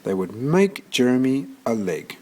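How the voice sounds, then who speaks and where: A man reading a children's story aloud in an expressive storytelling manner, occasionally stumbling and restarting. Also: medium pitch, unhurried, slight background noise. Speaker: storybook reader.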